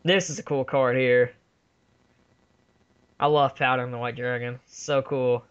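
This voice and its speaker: A man's voice: medium pitch, little pitch movement, a little slow, a bit bright, clean tone. A man chatting casually as co-host of a fan movie commentary, rambling in a laid-back way. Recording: dull lo-fi home recording.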